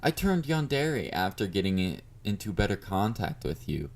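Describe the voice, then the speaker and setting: Low male voice, man playing a obsessive yandere admirer, confident, flirty, smug teasing, intimate audio roleplay. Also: sing-song, moderate pace. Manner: teasing, smug, mock-considerate, murmured endearments.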